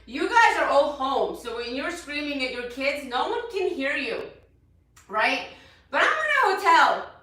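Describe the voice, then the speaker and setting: Loud, high-voiced woman in an echoey room. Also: very animated, a little slow, distant mic. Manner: chatty, repeats points for emphasis. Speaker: fitness coach. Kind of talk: casual motivational talk to followers. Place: hotel room.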